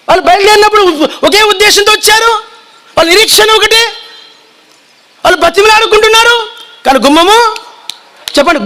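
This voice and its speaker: High-pitched, fiery preacher man. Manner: shouting, repeats lines and makes the crowd repeat them. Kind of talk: fiery sermon.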